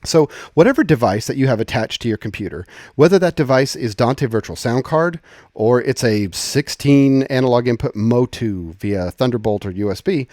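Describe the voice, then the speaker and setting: A man giving an informal, conversational software tutorial, explaining step by step and often rephrasing as he goes. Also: mid-range voice, no room sound, clean studio sound. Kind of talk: casual screen-share tutorial, explanatory.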